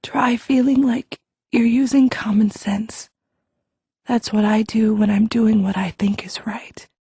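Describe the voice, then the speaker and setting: Stubborn injured teen girl mage: blunt, practical, mellow husky voice, very dry sound. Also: fairly high pitch, fairly even intonation, unhurried, dry recording, exaggerated voice. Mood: weary, dry. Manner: blunt, no-nonsense. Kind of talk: full-cast audiobook acting.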